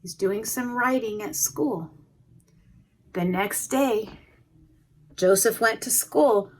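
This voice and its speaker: A woman reading a picture book aloud to young children, expressively, pausing to comment on the pictures. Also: fairly high pitch, poor audio. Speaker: preschool educator reading a storybook. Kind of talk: read-aloud storytime with asides to young children.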